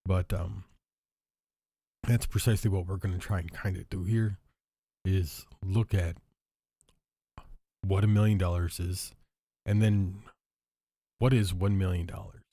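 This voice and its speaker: A deep-voiced man with a husky, worn-out sound, hoarse and a bit stuffy, talks casually to his viewers. He rambles, circles back, and fills pauses with "uh" and "um". His mellow voice sits in a dry, close recording. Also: steady tone, no echo. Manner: rambling, thinking aloud with many fillers and restarts. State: hoarse, stuffy after illness. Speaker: show host. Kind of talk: casual solo talk to viewers.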